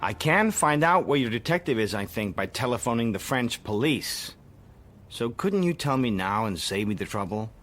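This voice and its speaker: A man's voice: medium pitch, expressive, moderate pace, a bit bright, faint music behind, close to an everyday voice. An actor with an American accent playing a controlled, determined, secretive man who also narrates a radio drama. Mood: cool, insistent. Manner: controlled, insistent. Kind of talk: radio drama acting with first-person narration.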